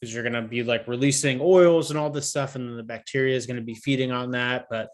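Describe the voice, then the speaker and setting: A man's voice: mid-range voice, fairly even intonation, fast talker. A man co-hosting a casual podcast, rambling and losing his train of thought, with a slightly nasal voice. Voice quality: a bit nasal. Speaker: podcast co-host. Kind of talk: casual chat.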